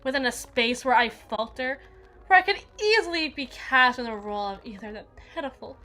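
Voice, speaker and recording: A woman playing a jealous, menacing evil queen in an ASMR roleplay, regal and possessive, with theatrical, deliberate formal diction. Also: high voice, animated, bright, forceful tone.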